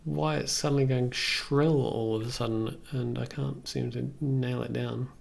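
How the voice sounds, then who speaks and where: A man in his thirties casually thinking aloud on a live tutorial stream in a halting, musing way. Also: mid-range voice, fairly even intonation, vocal fry. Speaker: live streamer demonstrating synthesizer software. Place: indoor room at a computer desk. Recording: faint background noise.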